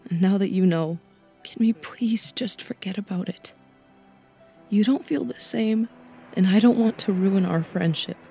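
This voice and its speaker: A woman's voice: mid-range voice, measured pace, gravelly, warm tone, faint music behind. A woman playing a guilt-ridden, reserved teenage cat-eared girl in an audiobook, speaking in a subdued, pleading manner. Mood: ashamed, pleading. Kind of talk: audiobook fan fiction dialogue.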